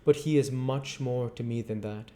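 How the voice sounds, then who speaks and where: A man voicing an earnest, devoted, brooding gentleman painter from a novel, with an intense and sincere manner. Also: mid-range voice, normal speed, natural voice.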